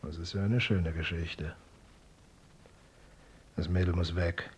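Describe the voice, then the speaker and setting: A gruff, powerful official in a radio drama. His very low voice is slow and condescending, coldly menacing, over faint noise. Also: fairly even intonation. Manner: condescending, deliberate.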